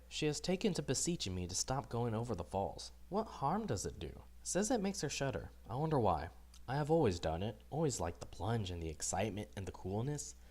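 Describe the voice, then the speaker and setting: A man voicing Adam as a grumpy, bewildered, solitary diarist, weary and fussy, in a deadpan storybook diary reading. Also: mid-range voice. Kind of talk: first-person storybook diary narration.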